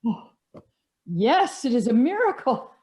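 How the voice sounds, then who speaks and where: A woman's voice: fairly high pitch, sing-song, unhurried, warm tone, husky, exaggerated voice. A woman playing a fussy, incredulous society lady in a stage play, with an indignant, questioning, theatrical manner. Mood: scandalized. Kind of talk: stage acting in an online one-act play.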